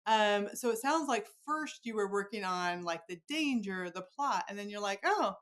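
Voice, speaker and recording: A woman's voice: mid-range voice, lively intonation, moderate pace, fairly bright tone. A woman hosting a podcast, chatting with a guest in an enthusiastic, gushing way. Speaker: podcast host interviewing an author. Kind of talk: chatty interview.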